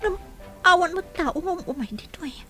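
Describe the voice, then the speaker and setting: A woman's voice: extremely high pitch, lively intonation, moderate pace, over music. A woman playing a wary, confused old grandmother in an audio drama, questioning and plaintive. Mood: anxious, scheming. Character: wary, confused old grandmother, held against her will.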